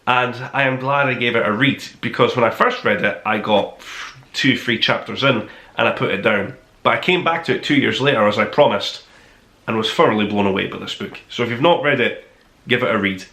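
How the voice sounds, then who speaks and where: A man's voice: mid-range voice, brisk, sharp tone. A man hosting a book review, talking casually to camera in an emphatic, rambling way. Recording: roomy, echoey sound.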